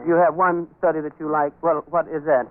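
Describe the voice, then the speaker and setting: A man's voice: a little high, flat delivery, brisk, lightly acted. A man playing a patronizing straight man in 1930s radio comedy crosstalk, formal and wordy with polite hesitations. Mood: politely probing. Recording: tinny, band-limited 1930s radio recording.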